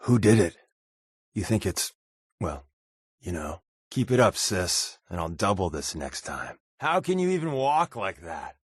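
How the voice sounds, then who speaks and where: A man's voice: low voice, sing-song, measured pace, slightly rough, no echo, clean studio sound, exaggerated voice. A man playing a wry, wisecracking undercover agent in a video game, with a casual, sardonic delivery. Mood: guarded, dry, then teasing. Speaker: voice actor playing a game companion. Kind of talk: video game character dialogue.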